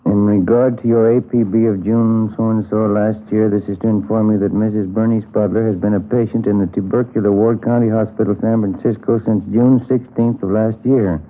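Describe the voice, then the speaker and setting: An American actor playing a plain-spoken, musing police detective in a 1950s radio crime drama, in a conversational manner. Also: male voice, on the low side, fairly even intonation, moderate pace, hardly any pauses, slight background noise, natural voice. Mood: official, reading. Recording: muffled, narrow-band vintage radio recording.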